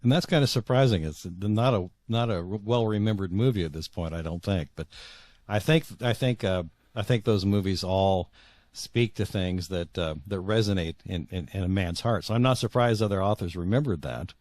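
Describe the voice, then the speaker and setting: Male, 60s, deep voice, dry sound. Thoughtful author guest, conversational, searches for words as he goes. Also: a little mellow, no room sound. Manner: earnest, halting, repeats words as he searches for phrasing. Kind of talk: reflective conversational interview.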